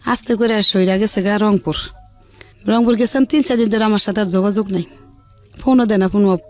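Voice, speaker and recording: A woman's voice: medium pitch, brisk. A middle-aged woman with a colloquial Dhaka Bengali accent tells her own life story in an earthy, unpolished way, acting out what people said. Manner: earthy, unpolished, animated retelling with reported dialogue. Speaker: radio show guest telling her life story. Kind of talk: candid personal storytelling in conversation. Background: background music.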